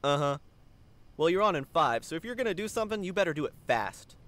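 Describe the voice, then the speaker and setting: Easygoing male cartoon pony janitor, played by an actor, put-upon, casual hedging, lively intonation, comic audio drama. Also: mid-range voice, fast, no echo. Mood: exasperated.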